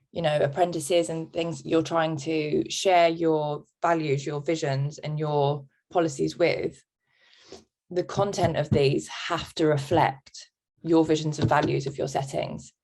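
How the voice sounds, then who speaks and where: Brief: woman, low gravelly voice, thoughtful webinar presenter. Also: a little mellow. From England. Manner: reflective, measured, frequent "um". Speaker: guest speaker, childminder.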